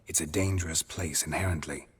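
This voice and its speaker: An actor playing a stoic, world-weary monster hunter in a video game, terse and matter-of-fact. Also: male voice, very deep voice, fairly even intonation, a little fast, slightly rough, heavily acted. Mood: cautioning. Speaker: game protagonist. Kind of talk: restrained video game voice acting.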